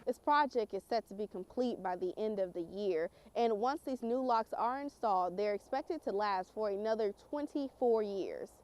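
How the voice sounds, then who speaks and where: A woman's voice: medium pitch, moderate pace, hardly any pauses, a little mellow. A woman with an American accent reads scripted narration as a television news reporter.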